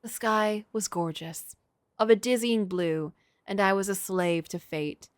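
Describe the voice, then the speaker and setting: A woman's voice: medium pitch, a little slow, no room sound, studio quality, close to an everyday voice. Woman voicing a tormented, brooding middle-aged male writer. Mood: resigned despair. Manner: brooding, melodramatic, literary. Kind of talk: dramatic first-person reading of game story.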